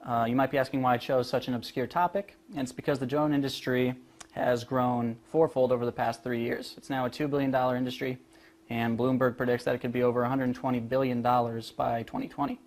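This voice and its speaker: A young man giving a prepared student presentation, explaining his work in a polite, measured way. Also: mid-range voice, flat delivery, darkish tone. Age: young adult.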